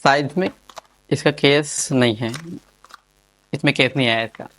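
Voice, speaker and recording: A man's voice: mid-range voice, fairly even intonation, moderate pace, fairly bright tone, slight background noise. A man giving casual, informal unboxing commentary as an enthusiastic gadget reviewer, often repeating his phrases.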